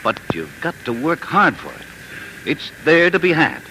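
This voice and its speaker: A man's voice: on the high side, very animated, moderate pace. An actor playing a stubborn, earnest middle-aged small-town father in a homespun, emphatic 1940s radio style. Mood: earnest, fatherly. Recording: noisy vintage 1940s radio recording.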